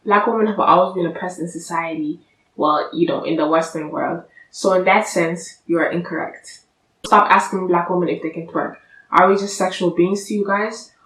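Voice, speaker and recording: A woman's voice: fairly low pitch, fairly bright tone, distant mic. A young woman talking straight to camera in a blunt, sassy, lecturing vlog, seated on a sofa indoors. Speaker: vlogger. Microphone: camera at a distance. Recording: echoey room, voice from off-mic.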